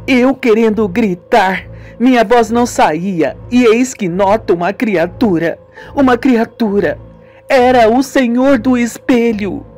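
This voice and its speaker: A woman's voice: on the high side, unhurried, darkish tone, soft background music, pitched above the performer's own voice. A woman voicing a terrified, tearful young woman in a radio soap opera, pleading and exclaiming melodramatically. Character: terrified, tearful young sister haunted by death.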